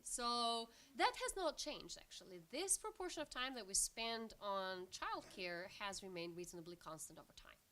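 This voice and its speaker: A woman's voice: mid-range voice, sharp tone. A woman from Albania giving an academic conference presentation as a researcher, explaining step by step.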